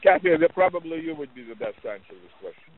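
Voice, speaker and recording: A man's voice: on the high side, sing-song, fast talker, vocal fry. Male interpreter on a phone line. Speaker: translator for a boxer. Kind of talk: informal interpreting. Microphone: phone call. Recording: narrowband phone conference line.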